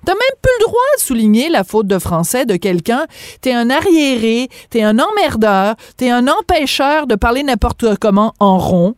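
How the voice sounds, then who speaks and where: A woman's voice: on the high side, very animated, normal speed, no room sound, studio quality. Emphatic French-accented woman radio host. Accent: French from France. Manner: emphatic, rhetorical questions. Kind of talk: opinionated radio debate.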